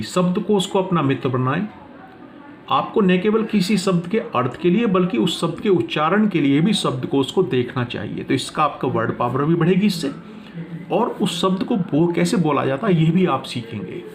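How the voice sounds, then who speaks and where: A man teaching a lesson from notes, reading out points one by one and explaining them in an instructional way. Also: fairly high pitch. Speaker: teacher presenting class notes.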